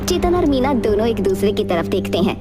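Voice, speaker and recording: A woman's voice: mid-range voice, sing-song, a little fast, over loud music. A woman narrating a scripted children's fairy tale.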